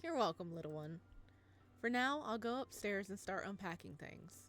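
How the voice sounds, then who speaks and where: A woman voicing a gentle, doting father, narrating softly and tenderly in a visual novel. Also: mid-range voice, animated, moderate pace, soft background music, natural voice. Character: gentle doting father, a modest physics teacher.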